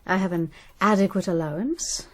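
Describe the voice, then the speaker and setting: An actress in a radio drama playing a bitter, scornful estranged wife with a sardonic, haughty, cutting manner. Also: female voice, on the low side, normal speed, natural voice.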